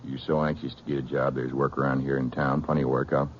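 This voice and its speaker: Very deep-voiced, bossy Western sheriff. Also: male voice, level tone, fast talker. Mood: stern. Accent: American. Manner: folksy rural drawl, bossy. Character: gruff, domineering older brother, small-town Old West sheriff. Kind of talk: old-time radio western acting. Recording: muffled, noisy vintage radio recording.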